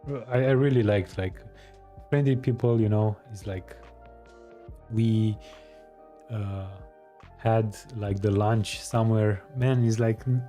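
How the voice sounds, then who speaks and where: A man hosting a live stream, chatting casually with viewers, hesitant with many pauses and fillers. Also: lowish voice, mellow. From Romania. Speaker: live-streaming coding host. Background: faint music.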